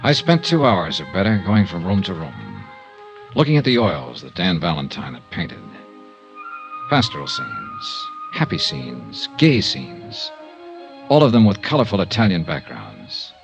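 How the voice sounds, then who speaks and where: An actor playing a tough, terse, hard-boiled insurance investigator in a vintage radio noir serial. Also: male voice, deep voice, wildly swooping pitch, measured pace, creaky, dull audio, soft background music, heavily acted. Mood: weary, terse. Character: tough, grieving male freelance insurance investigator. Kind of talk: 1950s radio noir acting and narration.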